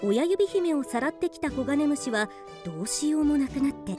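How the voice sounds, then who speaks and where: A woman's voice: high voice, animated, brisk, over music. A woman narrating a fairytale radio drama in scripted storybook style.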